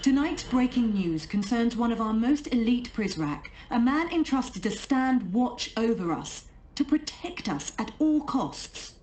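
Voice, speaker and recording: A woman's voice: a little high, animated, a little slow, hardly any pauses, a little mellow. A swooping, dramatic female newscaster. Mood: ominous, emphatic. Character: dramatic female news announcer of a dystopian city. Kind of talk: scripted news bulletin in an audio drama.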